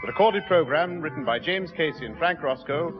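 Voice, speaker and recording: A man's voice: on the high side, animated, brisk. A man reading scripted closing credits as the announcer of a radio show. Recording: dull 1960s radio recording over music.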